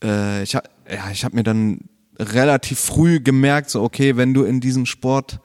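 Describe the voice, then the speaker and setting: A man's voice: mid-range voice, normal speed, no room sound, clean studio sound. A man, chatting casually as a podcast guest with slang and fillers. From Bremen, Germany. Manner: relaxed, laid-back, peppered with slang and fillers. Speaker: podcast guest, martial arts trainer. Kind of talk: casual chat.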